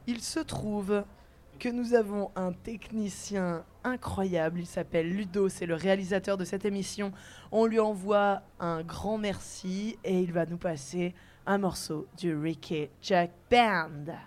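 A woman hosts a live radio show. Her voice sits at a middling pitch as she interviews guests before an audience. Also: fairly even intonation, a little slow, a little mellow. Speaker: radio host.

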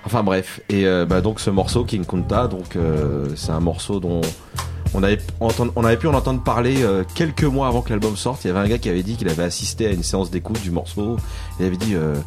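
A man's voice: sits low, fast. A man hosting a radio interview in casual, slangy, teasing conversation from a studio. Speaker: radio show host. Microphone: studio microphone with headphones. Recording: loud music under the voice.

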